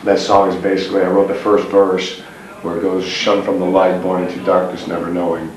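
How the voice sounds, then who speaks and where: A man's voice: low voice, completely flat delivery, a little fast. A man being interviewed as a band frontman, talking bluntly and dismissively with plenty of swearing in a casual chat. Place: venue backstage, roomy. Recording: echoey room, taped from a distance, faint noise.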